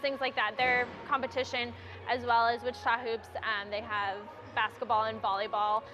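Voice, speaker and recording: A woman's voice: high voice, background noise. A woman on staff at a sports venue answering interview questions casually, with fillers and small laughs.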